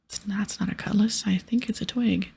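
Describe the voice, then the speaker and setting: Sceptical heroine for a video game read by a woman: very breathy whisper, dry questioning delivery. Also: medium pitch, steady tone, fairly quick, mellow. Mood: dry, curious. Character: plucky, sceptical young female adventurer. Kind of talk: whispered ASMR game dialogue.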